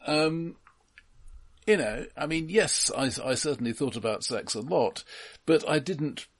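A man's voice: on the high side, lively intonation, unhurried, husky. A man co-hosting a film podcast, discussing casually and adding qualifications as he goes.

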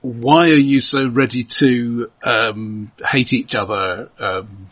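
A man's voice: medium pitch, steady tone, slow, a bit bright. A man co-hosting a casual, analytical film discussion, reasoning tentatively step by step. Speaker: podcast host.

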